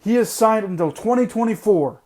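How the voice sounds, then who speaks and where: Man, high voice, hoarse, blunt podcast rant, repeats himself. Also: expressive, moderate pace, a little mellow. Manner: blunt, ranting, repeats points for emphasis. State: losing his voice. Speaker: podcast host. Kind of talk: opinionated sports podcast monologue.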